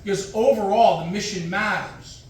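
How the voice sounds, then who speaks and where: A man's voice: sits high, normal speed. A man in his forties giving an emphatic motivational speech at a fire department event, using punchy, repeated short phrases. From United States. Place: large echoey hall with an audience. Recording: very echoey hall, distant mic.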